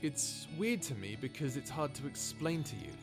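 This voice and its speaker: A sarcastic, grumbling scientist acted by a man talks in a casual, exasperated way, as in an audio roleplay. His voice sits at a middle pitch. Music plays clearly underneath. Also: sing-song, normal speed, lightly acted. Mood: casual, curious. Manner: sarcastic, informal, exasperated, sighing. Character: wry, grumbling human scientist, reluctant companion of a robot. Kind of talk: audio roleplay drama, conversational acting.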